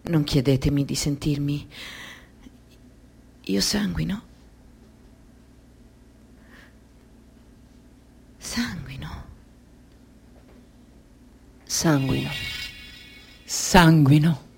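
A woman's voice: sits low, extremely slow, gravelly, long pauses, a little mellow, slight background noise, full character voice. An actress playing an alienated, tormented thirty-year-old woman in an intense radio drama monologue of short sentences. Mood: numb, pained.

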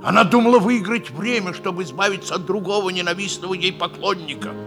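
An actor playing a frank, loyal hussar captain, speaking in an emphatic, theatrical 1960s radio comedy style. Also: male voice, high-pitched, fast talker. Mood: earnest, grave. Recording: 1960s radio play with music.

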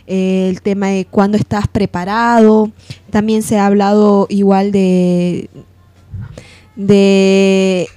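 A woman's voice: medium pitch, level tone, slow pace, mellow. A woman giving informal radio commentary, hesitant, often checking in with tag questions. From provincial town. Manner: hesitant, frequent "¿no?" tags. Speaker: psychologist and radio announcer.

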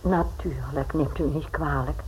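A woman acting in a Dutch radio play as a polite, guarded upper-class lady with a husky, breathy voice and formal manner. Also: low-pitched, steady tone, a little slow, a little mellow, slight background noise, low-quality recording. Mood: polite, gracious. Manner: formal, reserved.

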